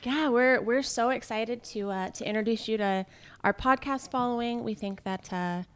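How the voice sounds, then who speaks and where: A woman's voice: fairly high pitch, normal speed, clean tone. Enthusiastic woman hosting a podcast. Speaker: podcast co-host.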